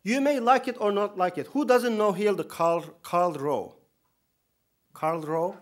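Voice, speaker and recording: A man in his thirties with a Turkish accent and a fairly high voice speaks from a podium in a lecture hall. He talks in an earnest, emphatic way, with touches of dry humor. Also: measured pace. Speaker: imam giving opening remarks. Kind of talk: conference speech. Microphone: podium microphone.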